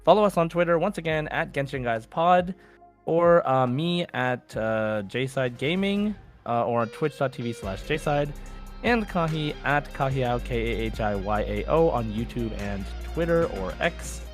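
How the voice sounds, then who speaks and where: A man with an average-pitched voice co-hosts a podcast. He speaks informally and conversationally, addressing his listeners directly. Faint music sits behind the talk. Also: animated, unhurried. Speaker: podcast co-host.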